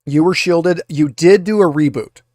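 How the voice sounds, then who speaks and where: A man's voice: fairly high pitch, normal speed, a little mellow, dry recording, clean studio sound. A man speaking as a game master, explaining rules and narrating in a casual, conversational way.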